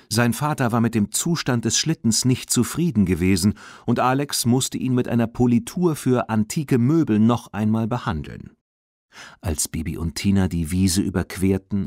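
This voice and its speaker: A man reading a children's audiobook as an expressive, clearly enunciated scripted narration. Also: low-pitched, no room sound, studio quality.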